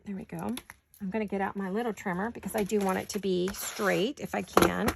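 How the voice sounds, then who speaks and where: A woman with a sore throat chatting casually to viewers while she crafts at home, thinking out loud as she works. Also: mid-range voice, steady tone, fairly quick, gravelly, very noisy. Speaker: craft vlogger. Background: scissors and crafting clicks.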